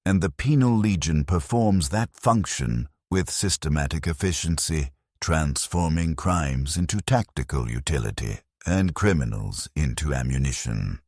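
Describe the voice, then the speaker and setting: A man narrating a dark first-person sci-fi story as a bleak, resigned dead convict soldier, in a grave, measured, deliberate way. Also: very deep voice, lively intonation, dry recording, studio quality. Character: bleak, resigned ghost of a condemned legionnaire.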